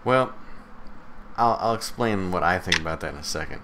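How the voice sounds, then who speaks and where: A man's voice: sits low, animated, normal speed, noisy background. A man co-hosting a podcast in casual chat, with a teasing manner. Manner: teasing, chuckling often. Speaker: podcast co-host.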